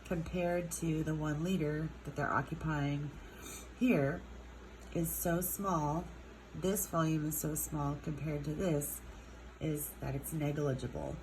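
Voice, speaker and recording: A woman teaching a chemistry lesson with a stuffed-up nose, speaking unhurriedly with many ums and drawn-out words. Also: low voice, fairly even intonation, a little mellow, a little hiss or hum, rough recording. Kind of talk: recorded classroom lesson.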